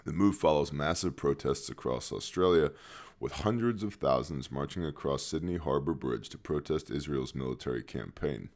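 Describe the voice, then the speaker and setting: A man hosting a news briefing, reading items in a steady tone and cutting in with dry, sarcastic asides. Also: a bass voice, normal speed. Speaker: news commentary host.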